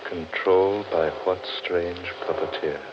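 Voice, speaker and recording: Grim low-voiced doom-monger in old radio horror, muffled noisy recording. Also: male voice, fairly even intonation, unhurried. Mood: brooding. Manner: ominous, brooding, insistent. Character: grim, fatalistic man lost in a haunted forest. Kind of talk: 1940s radio horror acting.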